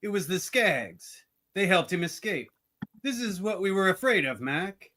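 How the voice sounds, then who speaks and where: A man acts a hateful, hot-tempered deputy in a radio drama. The voice sits fairly high with a hard, forceful edge, pushing every point belligerently. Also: sing-song, unhurried, exaggerated voice. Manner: belligerent, insistent. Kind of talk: amateur radio theatre acting.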